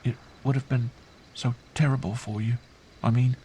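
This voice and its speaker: A man's voice: mid-range voice, fairly even intonation, moderate pace, husky, pitched above the performer's own voice, natural voice. A man voicing a bewildered, earnest young girl in an audiobook, trusting and fragile, speaking simply. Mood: hushed, earnest. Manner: hushed, simple, earnest delivery. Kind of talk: audiobook character voice.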